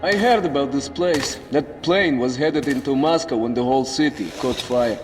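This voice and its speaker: An actor playing a gruff, excitable, foul-mouthed Russian survivor in a video game, with a Russian accent and slangy talk. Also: male voice, mid-range voice, normal speed, close to an everyday voice. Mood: wistful. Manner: slangy, swearing, urgent. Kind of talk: video game character acting. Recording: echoey hall, music or noise behind.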